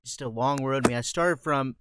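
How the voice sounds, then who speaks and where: A man's voice: medium pitch, fairly quick, fairly bright tone, slight background noise. A man speaking casually as a voice actor guest in an online interview, on a home microphone setup. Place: online voice call. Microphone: close home voiceover microphone.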